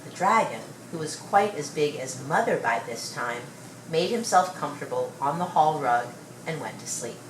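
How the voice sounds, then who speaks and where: A woman reading a children's story aloud with expressive, measured storytelling. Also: sits low. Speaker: storybook reader. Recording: roomy, distant mic, faint noise.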